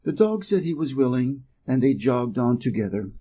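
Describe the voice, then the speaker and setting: Husky, mellow American male storyteller. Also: mid-range voice, big pitch swings, moderate pace. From Wisconsin, United States. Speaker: storyteller, English reading teacher. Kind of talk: scripted fairy tale narration for learners.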